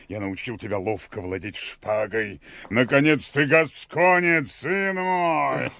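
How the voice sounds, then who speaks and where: A man's voice: on the high side, a bit bright. An actor playing a proud old Gascon father in a radio play, stately, emphatic and paternal. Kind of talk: theatrical radio play acting. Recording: noisy old radio play recording, clicks.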